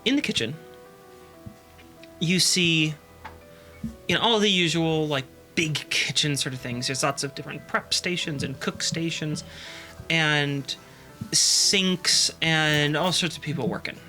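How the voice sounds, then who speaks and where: A man's voice: on the high side, a little slow, long pauses, pushed and bright, faint music behind. A man running a tabletop game as game master, sniffly from allergies, describing scenes with plenty of fillers. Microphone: desk microphone.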